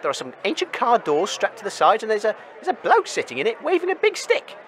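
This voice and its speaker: A man's voice: high-pitched, huge pitch swings, fast talker, a bit bright, heavily acted. A man playing a flustered, hesitant wasteland radio reporter giving live commentary in a comedy radio drama. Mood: hesitant, underwhelmed. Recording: noisy crowd ambience behind.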